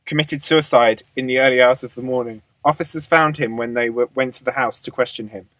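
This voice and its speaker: A man playing a businesslike police boss in an amateur radio drama, measured, matter-of-fact and authoritative. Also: mid-range voice, fairly even intonation, a bit bright, dry recording, natural voice, rough recording. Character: businesslike male police boss briefing his detectives.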